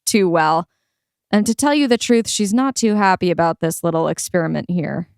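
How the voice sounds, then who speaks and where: A woman's voice: fairly low pitch, normal speed, a little mellow, clear, resonant tone, dry recording, clean studio sound, close to an everyday voice. A woman acting in a satirical dystopian adventure audio play. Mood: earnest.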